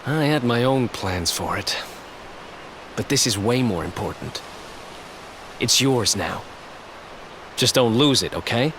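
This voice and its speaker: A cheeky young thief. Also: male voice, mid-range voice, animated, takes it slowly, long pauses, fairly bright tone, a little hiss or hum. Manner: casual, cheeky. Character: cocky young male thief, wry. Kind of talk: video game voice acting.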